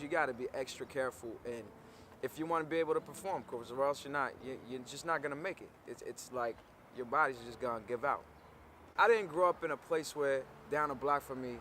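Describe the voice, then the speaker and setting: A man in his thirties speaks in an easy, conversational way, with lots of "like" and "you know what I'm saying." He sits at a middle pitch. He was recorded outdoors on a city street, with faint traffic and urban noise behind him. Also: a bit bright. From Comoros Islands, raised in the Maryland/DC area. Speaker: rapper. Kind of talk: casual talking-head interview. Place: outdoors by a graffiti wall in a city. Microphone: camera framed at chest height.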